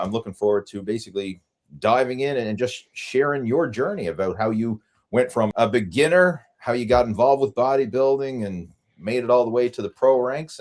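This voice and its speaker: A man's voice: mid-range voice, a little mellow. A man hosting a fitness podcast, talking in an easygoing, friendly way with fillers. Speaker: podcast host. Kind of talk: casual interview talk.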